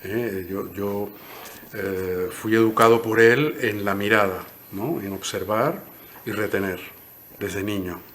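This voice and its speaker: A man's voice: fairly low pitch, takes it slowly, fairly bright tone, a little hiss or hum. A man in his sixties from Cuba, answering interview questions thoughtfully and often ending phrases with a questioning tag. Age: 60s. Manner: thoughtful, frequent "¿no?" tags. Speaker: interview guest, poet.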